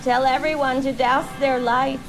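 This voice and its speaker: A woman playing a gleefully sinister, cackling witch over a hundred years old, telling a radio horror tale in drawn-out, folksy archaic speech. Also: sits high, flat delivery, music in the background, lightly acted. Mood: eerie, inviting.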